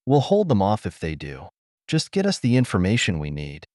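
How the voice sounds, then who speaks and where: A man's voice: lowish voice, wildly swooping pitch, moderate pace, darkish tone, no room sound, clean studio sound. A man voicing a massive, steadfast male alien warrior leader, resolute, in a science fiction audiobook.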